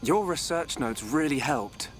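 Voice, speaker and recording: A man's voice: fairly high pitch, lively intonation, unhurried, slight background noise. A man playing an earnest, eager young researcher in a video game, explaining his ideas thoughtfully. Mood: earnest, keen. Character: earnest, eager young male researcher studying an ancient sword. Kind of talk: video game cutscene acting.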